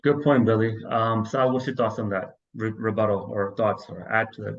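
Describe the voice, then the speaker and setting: A man's voice: lowish voice, fairly even intonation, moderate pace, dull audio. A man hosting a casual podcast, rambling and restarting his sentences a lot. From New York, US. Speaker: podcast host.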